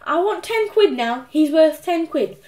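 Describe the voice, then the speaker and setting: A woman's voice: high voice, animated, unhurried, darkish tone, pitched above the performer's own voice. A woman voicing a bossy, moody young girl in a storybook, imperious and snappy. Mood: stubborn.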